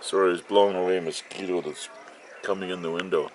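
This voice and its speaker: Man, low voice, casual rambling vlog talk, unhurried, noisy amateur recording. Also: steady tone. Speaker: amateur vlogger and ham radio hobbyist.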